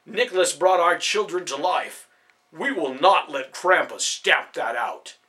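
A man voicing a desperate father and watchman who yells in anger and pleads urgently. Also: a little high, expressive, measured pace, pitched above the performer's own voice. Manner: yelling, urgent.

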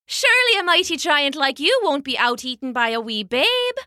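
A woman's voice: high-pitched, very animated, moderate pace, bright, forceful tone, no echo, clean studio sound, voice pushed up, exaggerated voice. A woman voicing a clever, scheming giant's wife in a storybook tale, with a sly, coaxing sweetness.

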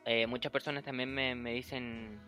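A man's voice: medium pitch, little pitch movement, normal speed, sharp tone, soft background music. A young man from Chile chatting casually as a podcast host, acting out old conversations and laughing often. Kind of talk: casual chat.

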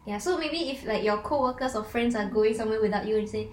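A woman's voice: on the high side, darkish tone, echoey room, slight background noise. A woman from Singapore co-hosting a casual chat, teaching slang with giggly enthusiasm. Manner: giggly, enthusiastic, quick to laugh. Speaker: co-host.

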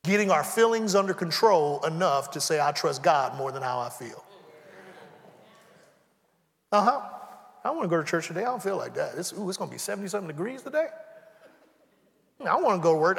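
Animated high-voiced preacher in a big hall. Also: male voice, a little fast, vocal fry, long pauses. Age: 40s. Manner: conversational preaching, acts out dialogue, humorous asides. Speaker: pastor. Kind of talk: sermon. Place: church sanctuary.

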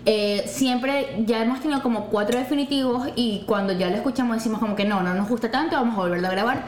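A young woman with a Venezuelan accent, co-hosting a casual podcast chat, animated and emphatic. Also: on the high side, fast. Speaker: podcast co-host. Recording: echoey room with faint noise.

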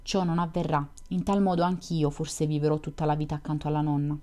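A woman's voice: deep voice, flat delivery, a little fast, dark tone, lightly acted. A woman voicing an earnest, tender young girl who speaks with anxious, imploring urgency in a storybook reading.

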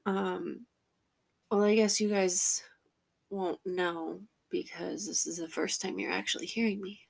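A congested woman hosting her podcast in casual chat, nervous, with many fillers and drawn-out vowels. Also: fairly low pitch, steady tone, creaky, breathy edge. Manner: laughs between sentences. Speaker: podcast host.

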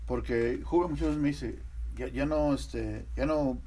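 A man's voice: medium pitch, measured pace, mellow, rough recording. Mexican podcast host, chatty man. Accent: Mexican Spanish. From Veracruz, Mexico. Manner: friendly, asks questions. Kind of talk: casual interview chat.

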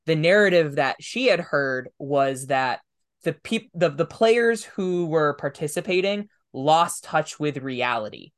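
The voice is a podcast host's, sitting at a middle pitch. The delivery is casual and conversational, with a reflective habit of thinking aloud as ideas are worked through. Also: animated, unhurried. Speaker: podcast host; tabletop game master. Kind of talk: conversational interview, casual chat.

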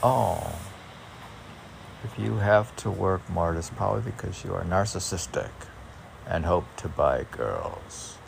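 A man reading poems aloud informally as a poet, with halting, musing asides between the lines. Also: low-pitched, lively intonation, creaky, a little hiss or hum.

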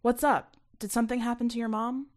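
A woman's voice: on the high side, little pitch movement, fairly quick, pitched above the performer's own voice, natural voice. A woman voicing a young farm boy in a story, a caring, teasing friend who talks casually. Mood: concerned.

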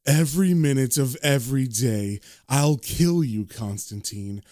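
A man's voice: medium pitch, measured pace, mellow, pitched below the performer's own voice, lightly acted. A man voicing a proud, threatening Devil in a comic-book story, formal, haughty and theatrical. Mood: cold menace. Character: proud, threatening male Devil, king of hell.

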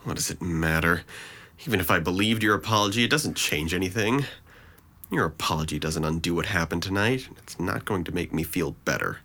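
A man's voice: low voice, a little fast, bright, forceful tone, exaggerated voice. A man acting a depressed, guilt-ridden and resentful young man in an audio drama, with a wounded, bitter delivery. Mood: bitter, hurt.

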